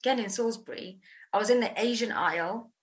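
A woman from England hosting a podcast and telling frank, lively stories. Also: a little high, fast. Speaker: podcast host. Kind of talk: podcast conversation.

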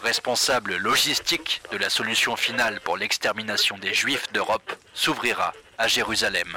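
A man's voice: on the low side, moderate pace, sharp tone, a little hiss or hum. A man playing a radio reporter who reads in a formal, announcer-like way. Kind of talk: scripted news-style narration.

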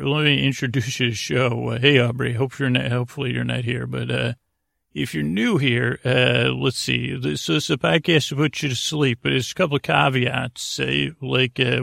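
A man hosting a bedtime podcast in a creaky, lulling voice, meandering and halting as he repeats and interrupts himself. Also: mid-range voice, a bit bright, no echo, studio quality. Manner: lulling, soothing. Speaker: podcast host. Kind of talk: rambling, improvised bedtime podcast monologue.

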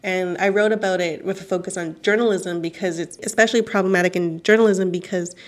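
A woman of Chinese origin whose first language is Cantonese, speaking as a journalist guest in a radio interview, animated and laughing often. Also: fairly low pitch, fast talker.

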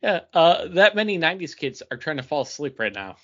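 A man's voice: on the high side, very animated, a little fast, bright, forceful tone. A man co-hosting a podcast in casual chat, with a wry, noncommittal way of talking. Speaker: podcast co-host. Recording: dull, lo-fi podcast audio.

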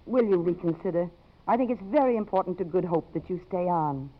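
An American woman playing a brisk, commanding congresswoman with a crisp, authoritative delivery in a 1950s radio drama. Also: lowish voice, lively intonation. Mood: tender, composed. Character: brisk, commanding congresswoman, romantic yet self-possessed. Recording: muffled old 1950s radio broadcast.

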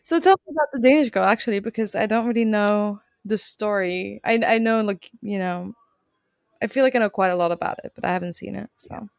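A woman's voice: mid-range voice, brisk, creaky, no room sound, clean studio sound. A woman co-hosting a podcast who is not a native English speaker, talking casually and thoughtfully while searching for her words. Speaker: podcast co-host. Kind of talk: casual film discussion.

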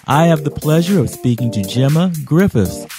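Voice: mid-range voice, big pitch swings, moderate pace, over music. A podcast host introducing the episode and interviewing a guest, often affirming with mm-hmms. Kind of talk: interview hosting.

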